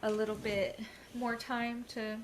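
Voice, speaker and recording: A woman's voice: a little high, flat delivery, unhurried, slight background noise. A woman co-hosting a casual vlogcast, rambling hesitantly with many fillers while stuffy from allergies. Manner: hesitant, many ums and likes. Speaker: vlogcast co-host.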